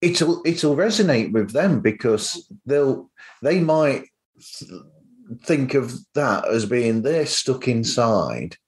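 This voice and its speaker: Halting male podcast host, mid-pitched. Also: expressive, a little mellow, rough recording. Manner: halting, rephrasing as they go. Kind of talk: casual interview chat.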